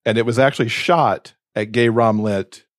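A man's voice: mid-range voice, steady tone, moderate pace, no room sound, clean studio sound. A man co-hosting a podcast, talking conversationally in a discussion. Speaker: podcast co-host.

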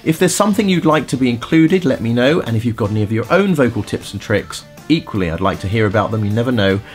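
A man's voice: medium pitch, expressive, fast talker, soft background music. A middle-aged man hosting a podcast, giving casual vocal tips in a chatty way with many fillers and restarts. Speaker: podcast host and vocal coach.

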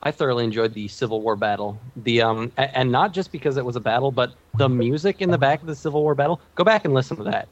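A man's voice: mid-range voice, fast, few pauses, no room sound, lo-fi sound. A man chatting casually as a podcast panelist, with wry, self-mocking humour. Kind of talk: casual TV recap chat.

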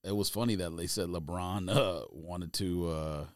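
A man's voice: low-pitched, unhurried, clean tone, no room sound, clean studio sound. A man co-hosting a podcast, chatting casually about sports in a slangy, conversational, storytelling way. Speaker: podcast co-host.

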